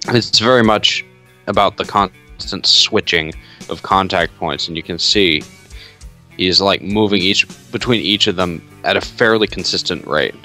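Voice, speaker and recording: A man's voice: sits low, unhurried, sharp tone. A young man giving casual commentary and banter as a co-host on a video call. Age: young adult. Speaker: co-host of a track review stream. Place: home room on a group video call. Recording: music playing behind the voice. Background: music with guitar.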